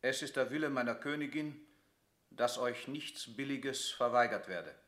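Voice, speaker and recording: An actor performs a royal envoy, a lord, in a classical radio drama. The man's medium-pitched voice rings in an echoey room. He declaims with formal, official reserve. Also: moderate pace, a bit bright, close to an everyday voice. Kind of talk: classical German verse radio drama acting.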